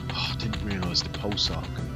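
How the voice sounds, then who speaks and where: A middle-aged man with a deep voice commentates on his own strategy game play. He thinks out loud haltingly, stretching some words and at times speaking as if he were the commander. Loud game music plays behind him throughout. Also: steady tone. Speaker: gaming video host playing a strategy game. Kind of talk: let's play commentary, partly in character as a commander. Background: game music, artillery fire and vehicles.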